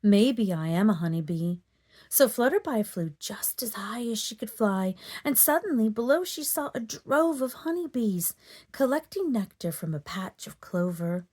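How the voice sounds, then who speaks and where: A woman storyteller narrating a children's book in an expressive, dramatic read-aloud manner. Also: fairly high pitch, normal speed. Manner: dramatic, animated delivery for children.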